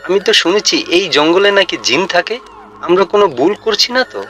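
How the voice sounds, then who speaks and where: A man's voice: high-pitched, fast, pushed and bright. A man playing an anxious, easily frightened young village lad in a cartoon, with a worried way of talking. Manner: worried, questioning.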